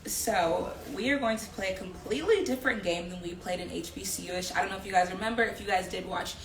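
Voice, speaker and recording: A woman's voice: medium pitch, fast, over music. A young woman hosting a casual interview, affirming her guest and speaking with emphasis. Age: young adult. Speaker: live series host, interviewer. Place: indoor room, live social media stream. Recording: echoey room with people murmuring behind.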